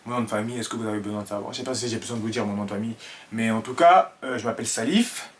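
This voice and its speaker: A man in his twenties from Ivory Coast with an Ivorian French accent, talking to camera in an earnest, halting way full of fillers. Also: on the low side. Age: 20s. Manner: hesitant, many fillers and restarts, earnest. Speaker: vlogger. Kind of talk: casual talk to camera.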